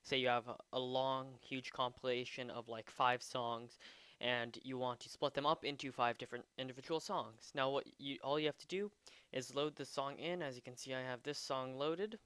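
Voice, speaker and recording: Clear-voiced man casually walking through software. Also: medium pitch, steady tone, normal speed, no room sound. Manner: hesitant, thinking aloud while demonstrating. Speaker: tutorial presenter. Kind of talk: casual unscripted software tutorial.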